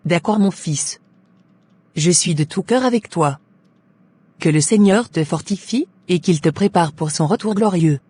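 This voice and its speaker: Woman acting a husky, gentle devout cartoon character. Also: on the low side, measured pace. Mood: supportive.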